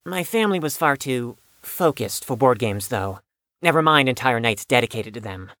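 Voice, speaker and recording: A man's voice: medium pitch, animated, moderate pace, pushed and bright, no room sound, clean studio sound. A man playing a bitter, wounded young man with formal, precise wording and a defensive manner in an audio drama. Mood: wry, reserved.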